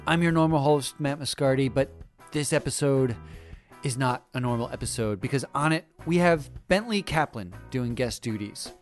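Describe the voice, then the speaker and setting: A man's voice: medium pitch, normal speed, faint music behind. A man with an American accent hosting a podcast in a playful, emphatic, conversational but scripted way. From United States. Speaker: podcast host.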